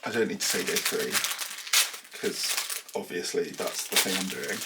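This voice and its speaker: A man's voice: deep voice, animated, unhurried, very noisy. A man from southern England with a southern English accent, chatting casually to camera in a vlog at home, rambling with self-deprecating asides and sounding a little nasal and bunged up. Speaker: knitting vlogger. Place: small cluttered room at home, indoors. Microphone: camera mic.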